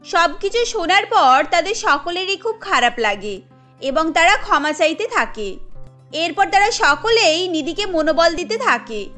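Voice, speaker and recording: Female narrator for a scripted cartoon story: extremely high, forceful bright tone. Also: expressive, measured pace, faint music behind.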